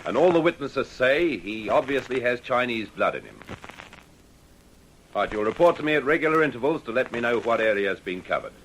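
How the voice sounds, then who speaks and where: A man's voice: mid-range voice, very animated, brisk, a bit bright, slight background noise, low-quality recording. An actor playing a stern police inspector giving authoritative orders to his men in a radio drama. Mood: stern, determined.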